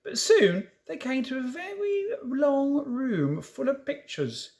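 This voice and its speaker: A man reading a children's story aloud in an expressive way, with informal asides to listeners. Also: extremely high pitch, slow. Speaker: storybook reader.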